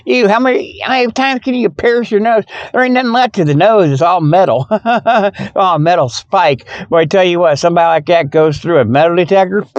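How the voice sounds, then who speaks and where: A man's voice: high voice, no room sound. A man hosting a rambling, casual show from his parked truck in a folksy, jokey, exclamatory way. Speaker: podcast host. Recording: dull, muffled lo-fi audio.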